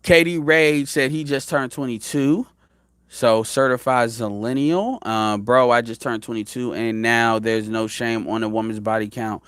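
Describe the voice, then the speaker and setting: A man's voice: medium pitch, steady tone, unhurried, a bit bright, clean tone, no echo, studio quality. A man in his thirties giving blunt, profane opinion commentary as a show host, rambling with frequent restarts. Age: 30s.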